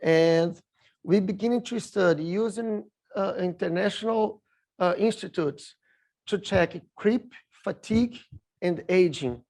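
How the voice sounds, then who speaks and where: A middle-aged man with a Brazilian Portuguese accent, giving a halting conference talk full of filler sounds, a Portuguese speaker working in English. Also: sits high, a little mellow. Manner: halting, many filler sounds, apologetic about his English. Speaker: industry speaker at a rail seminar. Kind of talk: conference presentation with slides.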